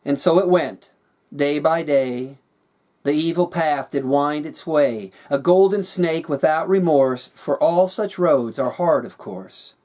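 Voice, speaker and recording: A man narrating his own rhyming fantasy story with a measured, dramatic storyteller's delivery. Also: a little high, darkish tone. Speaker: author reading their own work.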